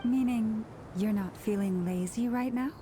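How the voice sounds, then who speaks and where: An actress playing a gentle, composed antlered woman in a video game, speaking politely and formally. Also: fairly high pitch, unhurried, a little hiss or hum, lightly acted. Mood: inquiring.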